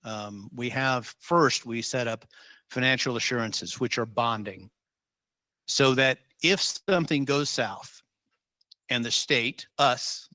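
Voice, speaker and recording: A man's voice: medium pitch, slow pace, bright, forceful tone, dry recording. A man from Utah, a state official leading a public meeting, talking informally with many ums and rambling asides.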